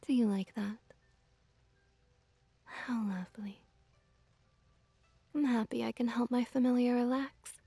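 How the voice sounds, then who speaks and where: A woman's voice: fairly high pitch, unhurried, pauses often, husky, no room sound, soft background music. A woman playing a teasing, dominant yet doting kitsune witch in an ASMR roleplay, cooing and often dropping toward a whisper. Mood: tender, cooing. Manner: cooing, teasing baby talk, soft whispery passages.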